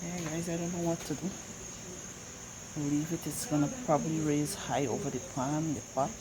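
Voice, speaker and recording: Female cooking vlogger, Guyanese accent, low register, casual think-aloud chat, clearly noisy home recording with insects. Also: steady tone, normal speed. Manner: thinks aloud, repeating phrases.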